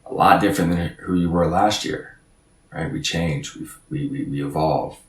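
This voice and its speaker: A man's voice: rumbling low, moderate pace, roomy sound, recorded from a distance. A man in his thirties giving an earnest, reflective motivational talk as a podcast guest, often repeating words as he thinks. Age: 30s.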